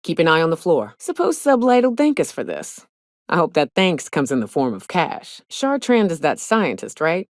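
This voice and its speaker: A brash gunslinger woman, on the low side and very expressive in pitch. She drawls wry, folksy, salty remarks like a video game companion from a space crew. The sound is close and dry. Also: normal speed, no echo, full character voice. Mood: wry, sardonic. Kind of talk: video game voice acting, short companion lines.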